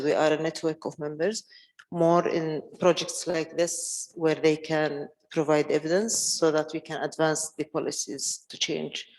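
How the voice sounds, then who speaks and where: A woman introducing herself as a network representative at a roundtable, measured and even. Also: low-pitched, in a large hall.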